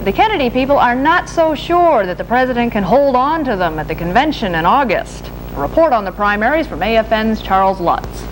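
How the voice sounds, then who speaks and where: An American woman reading scripted television news as an anchor in a formal, measured delivery. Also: on the high side, sing-song. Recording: noisy archival 1970s broadcast tape, humming.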